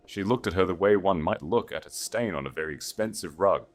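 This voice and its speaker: A man's voice: low voice, brisk. A man reading a scripted dramatic story as an audiobook narrator. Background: faint music.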